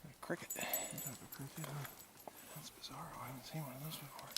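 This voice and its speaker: A man making quiet off-mic remarks to a companion outdoors on a mountain trail. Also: mid-range voice, steady tone, fast. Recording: loud noise over a distant voice.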